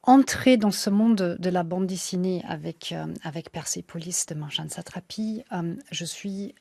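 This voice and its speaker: Woman guest, non-native speaker, low voice, halting and thoughtful, dry studio sound. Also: gravelly, darkish tone, no room sound. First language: not French. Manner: hesitant, many fillers and restarts. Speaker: author and illustrator guest. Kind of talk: radio interview, reflective.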